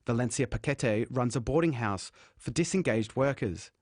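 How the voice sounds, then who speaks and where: Reporter voice-over: man, mid pitch, scripted narration. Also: normal speed. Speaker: news reporter.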